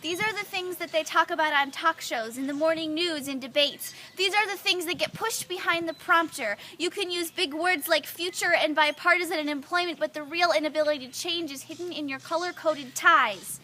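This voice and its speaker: A woman's voice: very high-pitched, fairly quick, hardly any pauses, sharp tone. A young woman reciting slam poetry in an earnest, rhythmic way, leaning on repeated phrases. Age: young adult. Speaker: student poet.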